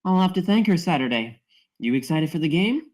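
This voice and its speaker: A woman's voice: low-pitched, expressive, a little fast, darkish tone. A woman playing a loving but scolding middle-aged mother at a screenplay table read. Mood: curious.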